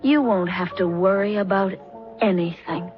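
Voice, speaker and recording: An actress playing a scorned young aspiring playwright with a sharp, cutting way of talking, in a 1970s radio drama. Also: female voice, medium pitch, normal speed, lightly acted. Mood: bitter. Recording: muffled radio drama with music.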